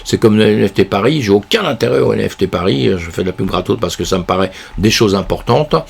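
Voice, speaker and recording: A man with a very low, gravelly voice, hoarse and congested from a cold. He chats loosely through a news roundup, full of fillers and restarts. His voice sounds husky and rough. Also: quick pace. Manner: rambling, with many fillers and restarts; chatty, addresses viewers as friends. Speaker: crypto news commentator. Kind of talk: casual commentary on a news roundup.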